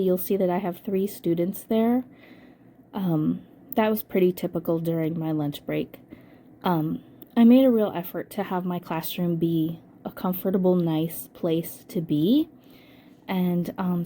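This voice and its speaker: An older woman with a hoarse voice from a cold, casually narrating a scrapbooking voice-over and thinking aloud with frequent ums. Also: lowish voice, mellow. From United States. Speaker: scrapbooking channel host.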